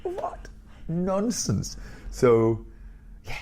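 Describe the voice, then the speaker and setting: A man around fifty, a headmaster telling blunt, animated stories in a candid interview. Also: high-pitched, very slow. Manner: blunt, animated storyteller with bursts of laughter.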